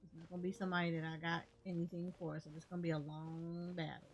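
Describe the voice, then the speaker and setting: A woman's voice: on the low side, flat delivery, fast talker, lo-fi sound. A woman streamer giving casual play-by-play commentary, half talking to herself as she plays a video game. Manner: talks to herself, muttering reactions while playing. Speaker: gaming streamer. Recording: game music behind the voice.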